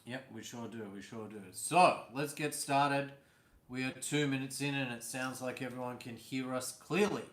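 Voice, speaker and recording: A man's voice: mid-range voice, fairly even intonation, moderate pace. Guy chatting on a home live stream, laid back, easy laughs, roomy echo. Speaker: vlog host. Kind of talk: casual live-stream chat. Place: indoors at home.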